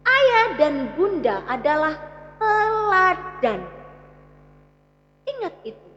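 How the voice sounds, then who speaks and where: A woman's voice: very high-pitched, big pitch swings, takes it slowly, a bit bright, faint music behind. A woman with an East Javanese Indonesian accent giving a religious parenting talk, teaching emphatically and addressing parents directly with rhetorical questions. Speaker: Islamic parenting speaker. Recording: echoey hall, slightly muffled sound.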